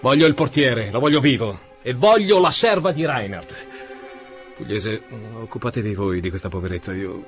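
An actor playing an exasperated police commissioner in a radio drama, agitated and bitingly sarcastic. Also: male voice, medium pitch, lively intonation, moderate pace, faint music behind, close to an everyday voice. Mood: sarcastic, angry. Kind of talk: Italian radio drama acting.

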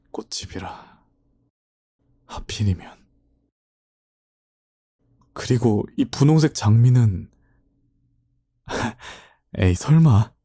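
Man acting earnest young student, mellow and muted. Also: fairly low pitch, full character voice. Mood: pensive. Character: earnest, dutiful young male student, burdened but resolute. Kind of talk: Korean audio drama acting.

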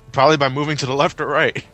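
A man's voice: fairly high pitch, bright, forceful tone. A man joking casually as a video game commentator, with game music behind him.

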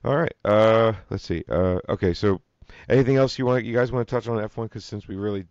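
A man's voice: on the low side, fairly quick, darkish tone, smooth, no room sound. A man hosting a casual podcast chat, chatty and laughing often. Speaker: podcast host. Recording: dull lo-fi dry sound.

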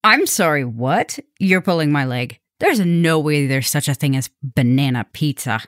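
A woman acts out a female vampire professor flirting with the listener in an intimate ASMR roleplay. Her voice sits low and is recorded very dry. She is playful and affectionate, chatting and teasing as if on a date. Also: lively intonation, moderate pace, no echo. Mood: playful, mock outraged.

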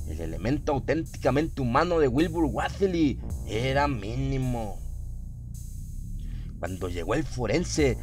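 A man narrates a horror tale in the manner of a radio drama. His voice sits at a medium pitch for a male narrator. Atmospheric music plays prominently behind him. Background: atmospheric music and sound effects.

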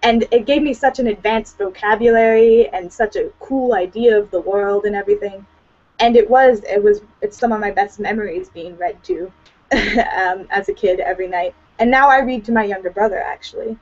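A woman's voice: medium pitch, moderate pace, a little mellow. A teenage young woman from Hawaii chatting informally as a guest on a video call, often saying "like" and "you know". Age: teens. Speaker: student guest. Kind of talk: casual video-call chat. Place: small room at home. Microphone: computer on video call. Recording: dull lo-fi video-call audio.

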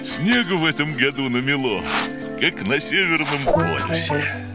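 A man's voice: a little high, measured pace. A man voicing a big, good-natured bull dad in a children's cartoon, easygoing and fatherly. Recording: music behind the voice.

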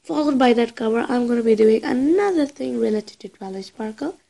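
High-pitched woman, casual presenter. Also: lively intonation, a little fast, creaky, mellow. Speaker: amateur voice actor presenting a voice reel. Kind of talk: casual online video introduction.

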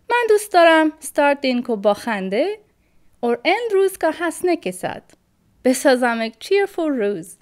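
A woman, a Farsi speaker, performing a spoken-word piece about joy in a bright, buoyant, sing-song voice-over. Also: high voice, pitched above the performer's own voice.

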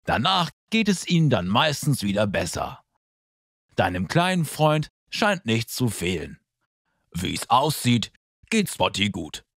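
A man's voice: mid-range voice, very animated, a little slow, gravelly, fairly bright tone, no room sound, clean studio sound, full character voice. A man voicing a kindly older vet in a children's cartoon, reassuring and explaining things simply.

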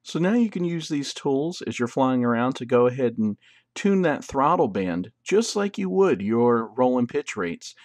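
A man's voice: mid-range voice, sing-song, moderate pace, darkish tone, dry recording, studio quality. A man hosting a drone tutorial, explaining settings in a casual, loose way as a voice-over. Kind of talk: instructional voice-over.

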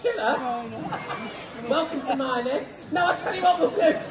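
A performer playing a brash, saucy, bossy mother in live pantomime comedy, loudly playing to the audience. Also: high-pitched, lively intonation, measured pace, very noisy. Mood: mischievous. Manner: loud, declamatory, playing to the audience. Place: large holiday resort theatre stage. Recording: echoey theatre, distant, loud music and crowd.

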